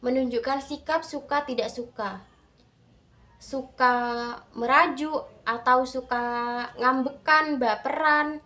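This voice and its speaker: A young adult woman giving a prepared student presentation in careful, halting phrases. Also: sits high, pushed and bright.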